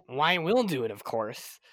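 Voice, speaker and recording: A man acting an excitable, good-humoured scientist and doctor in an old-time radio drama. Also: fairly high pitch, slow, dry recording, exaggerated voice. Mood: amused, reassuring.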